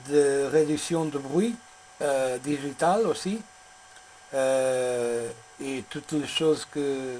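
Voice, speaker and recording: A man with a Portuguese accent, not speaking his native language, chatting as a radio operator in a halting way, searching for words. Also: on the high side, a little mellow, slight background noise, low-quality recording. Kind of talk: radio contact chat, technical talk.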